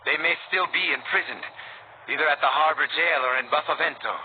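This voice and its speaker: A man's voice: a little high, brisk, natural voice. Man as earnest resistance fighter, tinny game audio. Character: earnest, anxious male resistance fighter, loyal informant. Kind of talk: video game character acting. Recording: tinny band-limited game audio, faint noise.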